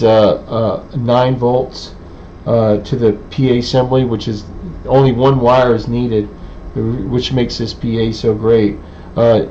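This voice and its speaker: A man giving an informal technical demonstration in a halting, hesitant way with many pauses. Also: medium pitch, mellow. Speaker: presenter, radio hobbyist. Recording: dull, lo-fi sound with faint background noise.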